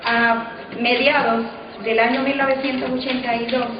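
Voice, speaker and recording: A woman with a Cuban accent, a scriptwriter, speaking in a measured way in a documentary interview. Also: a little high, flat delivery, noisy background. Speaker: children's radio scriptwriter. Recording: muffled, echoey, with crowd sounds.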